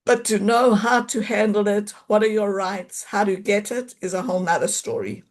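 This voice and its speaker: A woman's voice: medium pitch, normal speed. Chatty South African woman on a video call. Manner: chatty, loose, restarts often, sprinkles in Hebrew words. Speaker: occupational therapist giving a talk. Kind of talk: informal online presentation.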